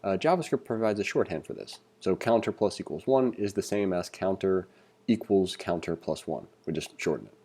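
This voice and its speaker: A man's voice: sits low, animated, normal speed, darkish tone, slightly rough. A man giving a casual screencast tutorial, reading along and thinking aloud in an informal, conversational way as an instructor.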